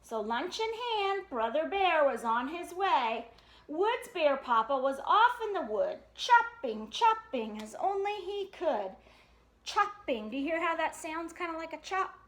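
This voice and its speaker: Storytime reader: woman, very high, animated voice, sing-song delivery, roomy echoey recording. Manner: sing-song, expressive, pauses to question young listeners. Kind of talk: animated read-aloud of a children's picture book with chatty asides.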